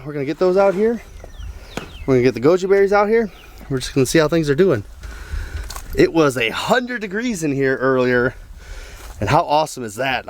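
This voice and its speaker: A man with a raspy voice from being sick, chatting upbeat to viewers while walking through a small backyard greenhouse. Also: a little high. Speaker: urban homestead vlogger. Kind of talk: casual walkthrough vlog.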